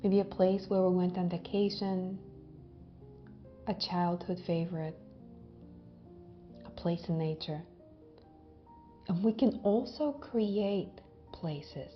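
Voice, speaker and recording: A woman leading a guided visualization in a gentle, hypnotic way, with long pauses and soft phrase endings that trail off toward a whisper. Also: fairly low pitch, muffled recording. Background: background music.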